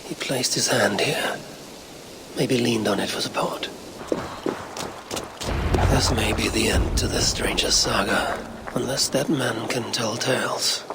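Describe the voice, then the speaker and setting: Viking clan leader, video game cutscene, mid pitch, solemn murmuring, measured delivery, noisy background ambience. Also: male voice, gravelly. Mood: brooding, investigative. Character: brooding Viking warrior and clan leader, investigating.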